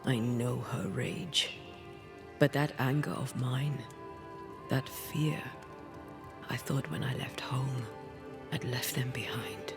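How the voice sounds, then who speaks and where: An actress playing a passionate, brooding ghost woman in a video game, with a breathy, whispery, intimate delivery. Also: extremely low pitch, over music, full character voice. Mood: intense, hushed. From Cuba (the character). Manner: slow, hushed, deliberate.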